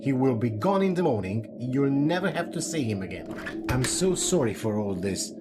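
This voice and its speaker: A man acting an older hotel manager in a radio drama. He is genial and courteous, his pitch swinging expressively, with music clearly behind him. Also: big pitch swings, normal speed, close to an everyday voice. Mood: apologetic. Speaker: actor in a radio play.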